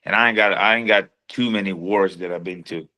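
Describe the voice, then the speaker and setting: A man in his thirties with a lowish voice that still carries a bright, forward edge. He talks in a relaxed, slangy street manner as a guest on a podcast. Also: quick pace. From Sweden. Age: 30s. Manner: laid-back, slangy street talk. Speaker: boxer, guest. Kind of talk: casual chat.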